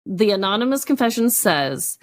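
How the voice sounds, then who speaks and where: A woman co-hosting a casual comedy podcast, chatting in an animated, exclamatory way. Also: medium pitch, no room sound, studio quality. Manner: animated, exclamatory, quick to laugh. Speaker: podcast co-host.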